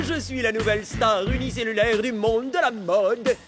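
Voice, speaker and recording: A woman voicing a cheerful, boastful young amoeba boy eager to be cool, in an animated cartoon. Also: on the high side, moderate pace, natural voice. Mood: proud, boastful. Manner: boastful, excitable. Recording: music behind the voice.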